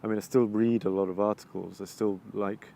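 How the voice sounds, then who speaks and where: A man with an English accent, answering interview questions in a halting, reflective way, often restarting sentences while he searches for words. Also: on the low side, little pitch movement, vocal fry, warm tone, a little hiss or hum. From England. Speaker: videographer interviewee. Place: outdoors overlooking the ocean.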